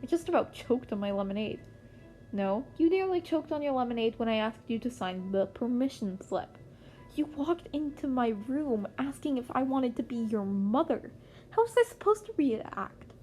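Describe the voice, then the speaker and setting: A woman's voice: on the high side, expressive, moderate pace, mellow, pitched above the performer's own voice, natural voice. Woman playing a teasing, chatty young male hero. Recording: music playing under the voice.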